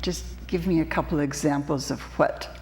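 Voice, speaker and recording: A woman hosting a studio interview show, introducing her guest and asking questions in an unhurried, conversational way. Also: low-pitched, steady tone, a little mellow, big-room sound, rough recording. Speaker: talk show host.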